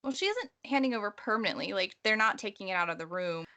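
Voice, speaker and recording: A woman's voice: medium pitch, lively intonation, quick pace, sharp tone. A woman co-hosting a casual book discussion, explaining her opinions in a slangy, conversational way. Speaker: podcast co-host.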